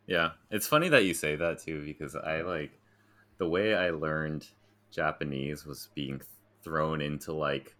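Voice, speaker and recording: A man's voice: very deep voice, unhurried, bright, forceful tone. An American man telling stories in a casual, informal and self-deprecating way, as a guest in a conversation. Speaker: interview guest, graphic designer.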